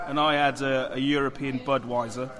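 A man of middling pitch chats as a podcast co-host in a pub. He has had a few drinks and rambles along in a casual, matey way. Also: little pitch movement, moderate pace, a bit bright.

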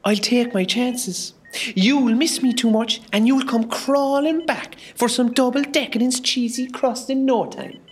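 A woman's voice: on the high side, unhurried, natural voice. A woman with an Irish accent playing a catty, gushing, star-struck hospital worker in a comedy radio play. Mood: catty, gossipy.

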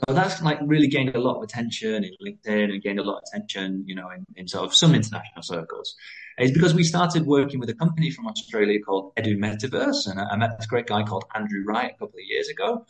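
A British-raised man, likely in his thirties, a school founder talking quickly as a podcast guest, with lively pitch and frequent restarts. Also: rough recording. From United Kingdom. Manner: fast, animated, many restarts. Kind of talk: interview conversation.

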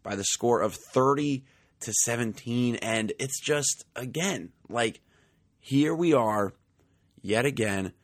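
Chatty man, slightly raspy. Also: mid-range voice, takes it slowly, no echo, clean studio sound. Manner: chatty, conversational, many fillers. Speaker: podcast host. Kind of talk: casual sports podcast monologue.

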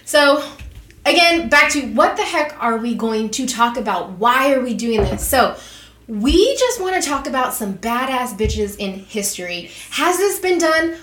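Bright-voiced bubbly woman of thirty. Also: high voice, expressive, a little slow, reverberant, slight background noise. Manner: bubbly, jokey, swears casually. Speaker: podcast co-host. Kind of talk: casual chat. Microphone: close to the mic.

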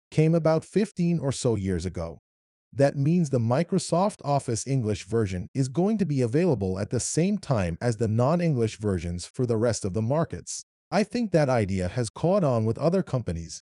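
A man in his fifties from Iran with an Arabic-influenced accent, explaining his career in a measured way as an interview guest. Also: mid-range voice, dark tone, no room sound, clean studio sound. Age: 50s. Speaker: interviewee, localization leader. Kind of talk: read interview answers.

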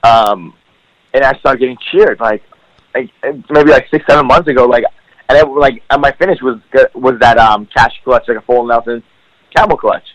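A man's voice: medium pitch, moderate pace, a bit bright, dry recording, dull audio. A male wrestler whose first language is Farsi chats loosely as a podcast guest, his mid-range voice wandering through fillers and restarts. The sound is dry and close, with no room around it. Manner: rambling, full of "like" and "um" fillers. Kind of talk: casual podcast interview.

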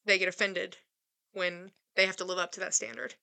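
A woman in her twenties co-hosting a casual chat, earnest and reassuring, who speeds up when she gets excited. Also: mid-range voice, brisk, vocal fry. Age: 20s. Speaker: podcast co-host.

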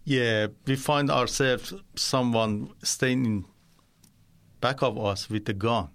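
A man from Iran with a Persian accent, a guest in a radio studio interview, speaking in halting, simple phrases. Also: mid-range voice, steady tone, fairly bright tone.

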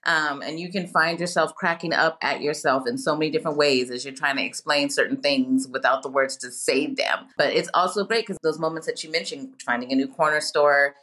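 A woman's voice: low-pitched, brisk, few pauses. A woman in her forties, a guest on a casual podcast interview, talking about travel with emphatic bursts and frequent laughter. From United States, near Mexico. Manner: animated, laughs often, emphatic.

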